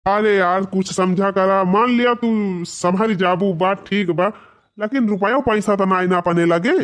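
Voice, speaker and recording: A man's voice: high voice, measured pace, a bit bright. A man voicing a stubborn, dismissive village husband in a comedy cartoon, grumbling in an Awadhi accent. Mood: pleading.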